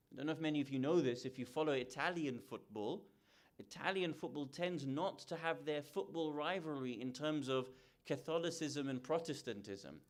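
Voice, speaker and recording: A man preaching in a measured, emphatic way, with a voice that sits in an ordinary middle pitch. He often stops to put rhetorical questions to the gathering before he presses his point. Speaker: speaker at a religious gathering. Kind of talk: sermon lecture.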